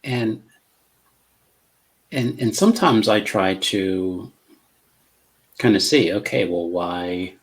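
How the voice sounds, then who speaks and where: A middle-aged man in casual conversation on a video call, speaking in a measured, calm way with many fillers and restarts. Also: sits low. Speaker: co-host.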